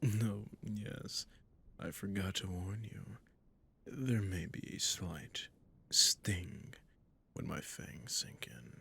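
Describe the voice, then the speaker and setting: A man playing a sadistic, possessive vampire master in an intimate ASMR roleplay, speaking in a breathy, husky, purring and condescending way, often in a whisper. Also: deep voice, takes it slowly, a bit bright, full character voice. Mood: savoring, taunting.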